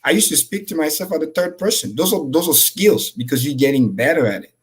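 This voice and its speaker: A man whose first language is French, giving high-energy, profane motivational talk as a livestream co-host. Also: expressive, fairly quick.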